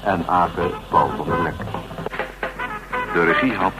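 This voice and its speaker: A male radio announcer with a low voice reads out scripted closing credits. Loud music plays behind him as he delivers the announcement. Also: takes it slowly, low-quality recording.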